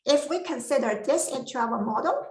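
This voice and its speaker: A woman whose first language is not English, giving an academic masterclass presentation with careful explanations and frequent pauses. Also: high-pitched, animated, darkish tone, echoey room. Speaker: lecturer.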